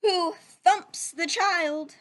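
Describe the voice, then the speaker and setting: A woman's voice: extremely high pitch, extremely slow, a bit bright. A woman reading a picture book aloud to young children like a teacher, drawing out phrases and putting questions to her listeners.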